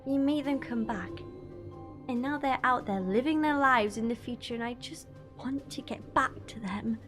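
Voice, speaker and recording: A woman's voice: fairly high pitch, normal speed, fairly bright tone, lightly acted. A woman acting in an audio drama as a homesick young girl, informal, earnest and youthful in manner. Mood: apologetic, longing. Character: homesick, plain-spoken young girl travelling companion. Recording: faint music behind the voice.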